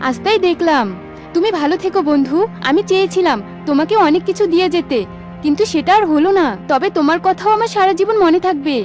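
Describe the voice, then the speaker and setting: A woman voicing a gentle, earnest young sea maiden in a fairy-tale cartoon. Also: very high-pitched, fast. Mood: hurt. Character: gentle young female sea being, pearl-bearing, loyal friend. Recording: faint music under the voice.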